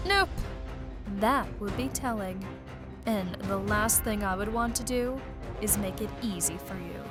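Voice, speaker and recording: A woman's voice: mid-range voice, measured pace, lightly acted. A woman playing a sly, teasing female cat burglar who speaks coyly, over dramatic music. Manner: coy, teasing.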